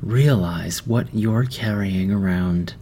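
A man narrating a guided meditation, giving gentle, soothing instructions with long pauses. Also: lowish voice, slight background noise. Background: background music.

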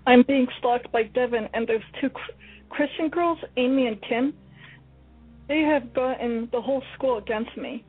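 A high, husky teenage schoolgirl who sounds guarded and defensive, played by a woman in a radio play. She comes through a thin, phone-like line with faint music underneath. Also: moderate pace. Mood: distressed. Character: anxious teenage girl student.

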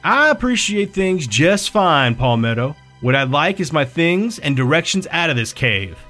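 A man's voice: a little high, sing-song, measured pace, sharp tone, faint music behind, pitched above the performer's own voice, exaggerated voice. A man voicing a hot-headed, injured tough guy who snaps threats and demands answers. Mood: angry. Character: hot-headed tough male runabout, bruised and belligerent.

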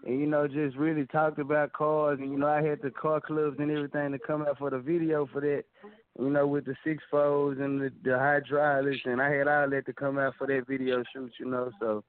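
A man's voice: fairly high pitch, little pitch movement, brisk. A young man from Dallas, a rapper being interviewed, talking loosely with lots of "like" and "you know". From Dallas, Texas. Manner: laid-back, loose, full of "like" and "you know". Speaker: rapper guest. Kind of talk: casual interview talk. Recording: tinny phone-line sound.

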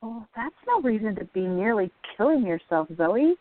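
A woman playing an affectionate, sensible young art student in a radio drama, gently chiding as she talks. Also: mid-range voice, very animated, normal speed, no room sound, lightly acted. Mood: concerned. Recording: dry, thin phone-like band.

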